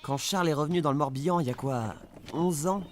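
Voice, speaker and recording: Actor as eager, fast-talking young neighbour. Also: male voice, a little high, background noise, lightly acted. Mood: surprised, sheepish. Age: young adult. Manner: eager, rambling, awkward. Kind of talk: audio drama acting.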